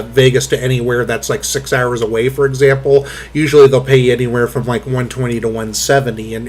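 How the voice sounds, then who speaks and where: A man hosting a casual commentary chat, rambling through fillers with blunt, crude talk and a booming voice. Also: slight background noise. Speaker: host.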